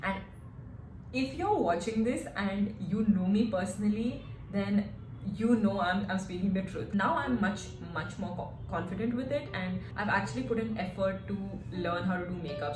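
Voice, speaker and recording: A young woman in her twenties chats to camera as a fitness coach, telling her stories in a lively, animated way. Her mid-pitched voice rings around a reverberant room. Music plays clearly underneath. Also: normal speed. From Singapore. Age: 20s. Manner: chatty, animated storytelling with frequent "like" and "right?". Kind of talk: casual vlog chat. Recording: echoey room with music behind.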